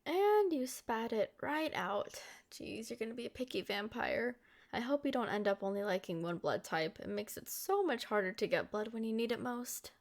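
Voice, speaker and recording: Woman voicing a female vampire lover, centuries old, higher pitch, affectionate, possessive, teasing, intimate ASMR roleplay. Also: expressive, normal speed. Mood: playful, chatty. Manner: coaxing, affectionate, speaking directly to a lover.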